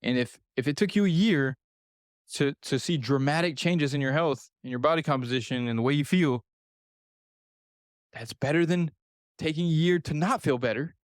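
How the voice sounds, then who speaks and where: A man's voice: on the high side, moderate pace, no echo. A man in his thirties with a slightly raised voice, coaching listeners through nutrition advice on his own podcast. He talks earnestly and repeats his points for emphasis. The sound is close and dry, with no room around it. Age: 30s. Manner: earnest, repetitive, speaks directly to listener. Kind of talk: solo podcast advice talk.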